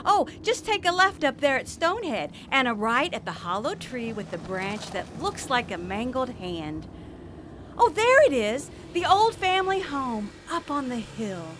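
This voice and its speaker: Actress as coy sweetheart, high animated voice, evasive, dramatic, radio comedy, background noise. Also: female voice, a little slow. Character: sweet, evasive young country sweetheart woman bringing boyfriend home. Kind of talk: live radio comedy acting.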